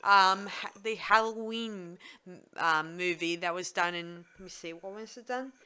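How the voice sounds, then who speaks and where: A woman's voice: on the low side, unhurried, pushed and bright, smooth. A woman co-hosting a casual film review chat, often searching for words with ums and restarts. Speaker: podcast co-host.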